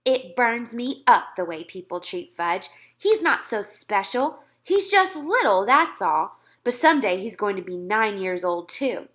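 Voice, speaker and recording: A woman reading a children's story aloud with expressive narration. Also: mid-range voice, unhurried, hardly any pauses.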